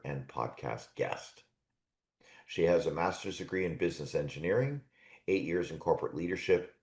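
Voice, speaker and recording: A man's voice: low-pitched, darkish tone. A man hosting a coaching podcast interview, upbeat and laughing often. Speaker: podcast host. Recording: roomy echo.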